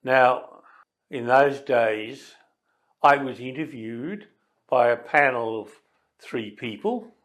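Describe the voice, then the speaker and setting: An older man reminisces in an interview in a deliberate, dry, matter-of-fact way. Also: mid-range voice. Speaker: service club member interviewed.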